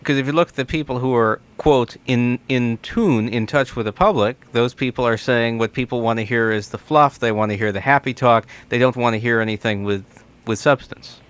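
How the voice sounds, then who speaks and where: A man's voice: mid-range voice, brisk, a bit bright, clean tone. A man hosting a radio talk show from a studio, interviewing in a conversational style.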